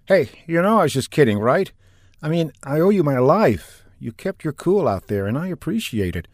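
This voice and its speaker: A man voicing a wisecracking young male fighter gunner in a higher, lively voice for an audiobook. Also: normal speed, no room sound, studio quality. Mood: earnest. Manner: jokey and teasing, then earnest.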